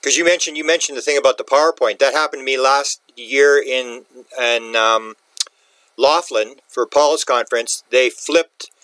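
A man's voice: medium pitch, moderate pace, pushed and bright, no room sound, low-quality recording. Man chatting informally, dry close sound. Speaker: interview participant asking questions. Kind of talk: casual conversational discussion.

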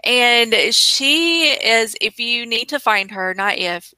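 A woman's voice: on the high side, lively intonation, slow pace, bright, forceful tone, clean tone, no room sound. A woman hosting a podcast interview over a remote call, enthusiastic and effusive in casual chat. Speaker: podcast host.

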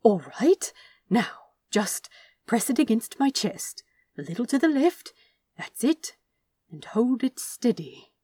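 Lady voicing a prim stubborn old angel, soft and coaxing, kinda high. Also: very animated, slow, husky, no room sound, exaggerated voice. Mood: coaxing, insistent. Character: fussy, stubborn old male angel, gently manipulative.

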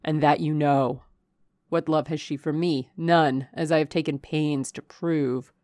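A woman voicing a passionate, possessive gentleman lover in an audiobook, speaking in an urgent, imperious, declamatory way. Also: sits low, steady tone, unhurried, no room sound, studio quality. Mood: passionate, insistent. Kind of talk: dramatic audiobook character reading.

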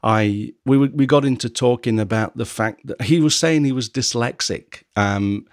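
Halting man with a dry sound. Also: mid-range voice, no room sound. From England. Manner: halting, often pausing to search for words. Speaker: podcast host. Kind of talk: casual conversation.

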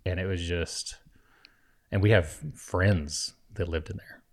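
A man hosting a podcast, an animated storyteller who laughs loudly in casual conversation. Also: deep voice, unhurried. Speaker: podcast host.

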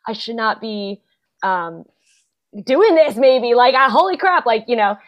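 A young adult woman, a guest actor and playwright, chatting casually in an interview, full of fillers and quick laughs. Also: a little high, expressive. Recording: dull lo-fi podcast audio.

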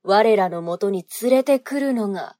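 A woman's voice: mid-range voice, lively intonation, normal speed, warm tone, no echo, studio quality, close to an everyday voice. An actress playing a sinister, seductive woman agent in a period audio drama, taunting in courtly archaic phrasing. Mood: cold menace. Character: sinister seductive noblewoman agent, archaic speech, mocking, menacing.

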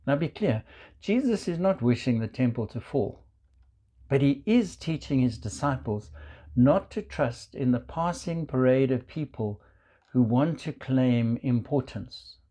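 Slow South African preacher. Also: male voice, mid-range voice, animated, warm tone. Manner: measured, deliberate preaching with scripture quotes. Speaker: pastor. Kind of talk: sermon.

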